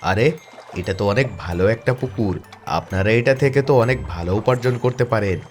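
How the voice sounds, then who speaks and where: A man's voice: on the low side, brisk, darkish tone, soft background music, natural voice. A man voicing an earnest young farming engineer in a cartoon, indignant and confident.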